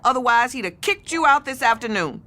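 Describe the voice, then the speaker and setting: An actress playing a hard, bitter middle-aged American aunt who works as a supervisor, scolding and commanding in a naturalistic radio play. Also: female voice, fairly high pitch, animated, brisk, bright, forceful tone. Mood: harsh contempt. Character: hard, bitter older aunt, jaded detention-center supervisor.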